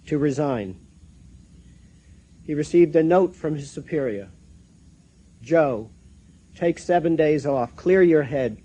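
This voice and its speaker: An American man acts as a seminar host, reading a prepared introduction. His tone is soft-edged and relaxed, pitched a bit above average, and he moves formally through the text phrase by phrase. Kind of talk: formal scripted introduction read aloud.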